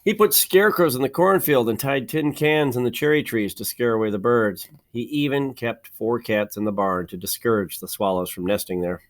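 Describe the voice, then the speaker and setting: A man reading a children's storybook aloud like a teacher, with deliberate phrasing, dramatic pauses and emphasis. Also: mid-range voice. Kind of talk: expressive children's storybook narration.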